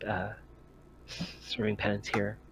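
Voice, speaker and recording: A man voicing a fervent female cult believer in a tabletop game, preaching haltingly and matter-of-factly about grim doctrine. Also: on the low side, monotone, background noise, lightly acted. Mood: preachy, resigned.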